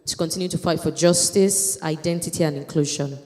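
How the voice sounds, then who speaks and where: A woman moderates a community panel, linking speakers with casual, conversational questions. Her voice sits on the low side. A long church-hall reverb hangs on every phrase. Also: fairly even intonation, a little fast, a little mellow. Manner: casual, checks in with "right?".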